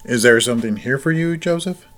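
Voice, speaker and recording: A man's voice: medium pitch, fairly quick, a bit bright, faint music behind, close to an everyday voice. A man acting a patient, obliging farm worker in an audio drama, speaking plainly and without hurry. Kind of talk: naturalistic audio drama dialogue.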